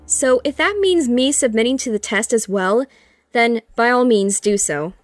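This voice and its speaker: A woman playing a poised, gently teasing cartoon pony princess, composed and reassuring. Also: a little high, expressive, moderate pace, dry recording, soft background music, close to an everyday voice. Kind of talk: scripted audio drama acting.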